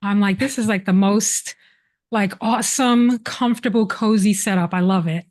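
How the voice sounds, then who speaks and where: A woman's voice: medium pitch, normal speed. Woman host, casual panel emcee, gushing praise for each guest. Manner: warm, effusive praise.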